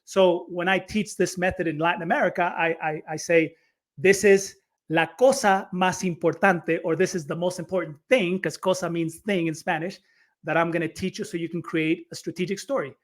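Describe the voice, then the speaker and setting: High-voiced man explaining with energy. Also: normal speed. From Chile. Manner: energetic, explanatory, repeats words when starting phrases. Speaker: storytelling coach. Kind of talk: podcast interview.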